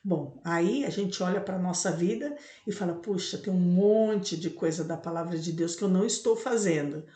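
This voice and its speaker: A woman's voice: on the low side, normal speed, hardly any pauses, dark tone. A woman with a Brazilian accent giving a devotional Bible teaching in a didactic, explanatory way, often checking in with tag questions. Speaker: evangelical bishop and Bible teacher. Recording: roomy, echoing room sound.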